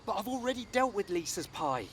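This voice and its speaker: An actor playing an exasperated, hapless small-time schemer in a radio soap. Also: male voice, sits high, sing-song, a little fast, slight background noise. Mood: exasperated, deflated.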